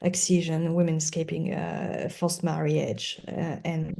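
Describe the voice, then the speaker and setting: A woman who is not a native English speaker, talking as a podcast guest in an earnest, halting way with emphatic repetition. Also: low-pitched, fairly even intonation, vocal fry, warm tone. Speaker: guest lawyer. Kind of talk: conversational podcast interview.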